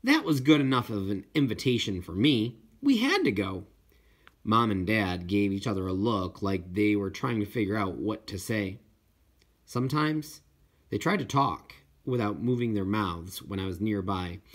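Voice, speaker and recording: A man reading a first-person children's novel as an expressive audiobook narrator. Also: fairly low pitch. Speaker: audiobook reader.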